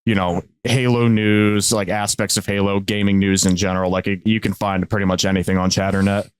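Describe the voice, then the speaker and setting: A man chatting casually as a podcast guest, informal and a little halting and self-effacing. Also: fairly low pitch, little pitch movement, clear, resonant tone. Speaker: podcast co-host appearing as guest. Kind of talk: casual chat.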